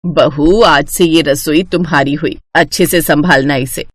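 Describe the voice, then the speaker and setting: A woman acts out an older mother-in-law from a family storybook. She speaks with unhurried, homely authority, gently instructing and approving, in a close, dry sound. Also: sits low, steady tone, husky, no echo, voice pushed down. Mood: calm, approving. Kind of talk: acted Hindi family storybook dialogue.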